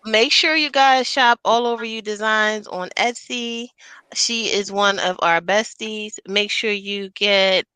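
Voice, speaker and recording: A woman hosting a podcast in a casual, slangy, animated chat, often laughing. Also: medium pitch, slow, clear, resonant tone, no echo, poor audio. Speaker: podcast host.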